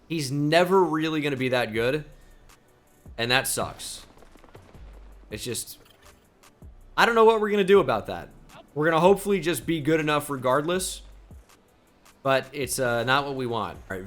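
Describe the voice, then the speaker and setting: A young man with a slight New Jersey accent gives chatty video game commentary. His tone is bright and forceful. He muses aloud and drops self-deprecating asides, with faint music playing behind him. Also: mid-range voice. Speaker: gaming YouTuber.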